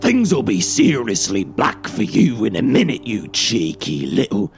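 Gruff, snarling policeman played by a male narrator, gravelly voice, highly animated, for an audiobook. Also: measured pace, faint music behind, heavily acted. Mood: menacing, sneering. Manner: snarling, bellowing, jeering. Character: gruff, angry middle-aged male policemen barking at teenage youths.

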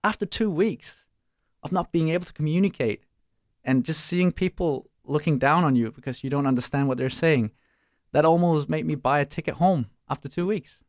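A young man, answering interview questions casually and pausing to search for words. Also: a little high, a little mellow, dry recording, clean studio sound. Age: young adult. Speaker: startup co-founder and student.

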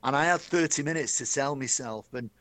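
Middle-aged Mancunian man, chatty storyteller, mid-pitched, dry close recording. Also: a little fast, a bit bright, no room sound. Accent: Manchester. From Manchester, England. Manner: chatty, enthusiastic, addresses host by name. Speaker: guest, stadium announcer. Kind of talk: storytelling interview.

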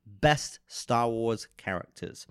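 Man with a nasal, rough flu voice. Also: medium pitch, takes it slowly, no room sound, clean studio sound. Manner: enthusiastic, animated fan talk. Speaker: podcast co-host. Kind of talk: casual chat.

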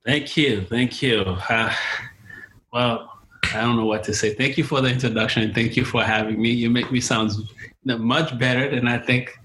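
A man's voice: mid-range voice, steady tone, moderate pace, pushed and bright, poor audio. A young man from Haiti, a guest filmmaker, answering interview questions in a hesitant way, with many restarts and fillers.

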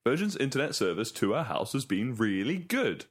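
A man's voice: mid-range voice, sing-song, moderate pace, husky. A man co-hosting a casual podcast chat, joking and playful. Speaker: podcast co-host.

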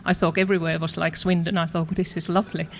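A woman with a Finnish accent and a somewhat low voice tells her personal story. She speaks hesitantly, pausing with many fillers and restarts as she goes. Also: level tone, slight background noise. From Finland. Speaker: church member sharing her story. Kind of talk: personal testimony.

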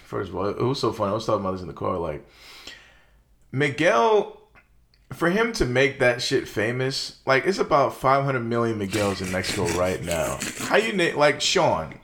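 Slangy, animated young man. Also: medium pitch, normal speed. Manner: loose, slangy, animated, lots of fillers. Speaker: podcast co-host. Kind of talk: casual chat about movies.

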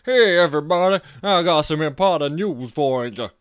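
A man's voice: medium pitch, measured pace, smooth, no room sound, clean studio sound, natural voice. A man voicing a brash, boastful adult man who swears and talks in a folksy drawl. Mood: shouting.

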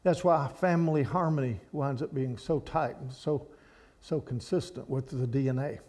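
A man preaching to a church congregation, animated and rambling, with frequent restarts. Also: medium pitch, normal speed. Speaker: guest speaker at a church. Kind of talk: sermon to a congregation.

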